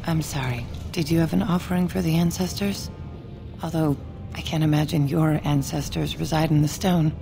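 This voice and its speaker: A mournful dwarf widow from a fantasy game. Her voice sits very low and carries a gravelly edge. Game music plays beneath her. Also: fairly even intonation, normal speed. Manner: mournful, solemn. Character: grieving older dwarf widow praying for lost son. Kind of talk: video game voice acting. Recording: game audio with music underneath.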